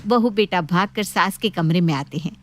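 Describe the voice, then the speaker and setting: A woman's voice: lowish voice, normal speed. A woman reading scripted story narration as a storyteller.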